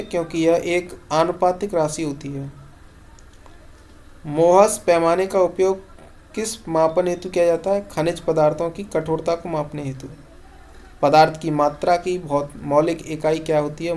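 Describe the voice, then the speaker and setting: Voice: medium pitch, fairly even intonation, measured pace, a little mellow. Teacher running question-and-answer revision. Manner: instructional, poses each question then states the short answer. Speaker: exam-prep science teacher. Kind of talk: question-and-answer revision lesson read from notes.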